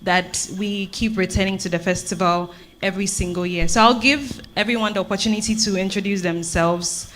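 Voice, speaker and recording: Bright-voiced Ghanaian woman speaking on stage. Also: medium pitch, fairly even intonation, a little fast, slight background noise. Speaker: theatre director thanking the audience. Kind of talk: informal thank-you speech on stage.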